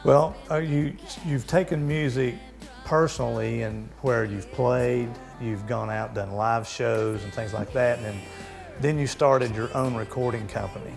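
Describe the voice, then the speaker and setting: A man hosting a casual interview, asking friendly, halting questions with fillers. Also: medium pitch, steady tone, creaky, music in the background. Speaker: interviewer, host.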